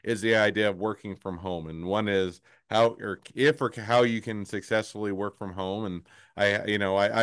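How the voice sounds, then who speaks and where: A man hosting a podcast interview, talking in a halting way with many fillers and restarts. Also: on the low side, smooth, no echo, studio quality. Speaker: podcast host.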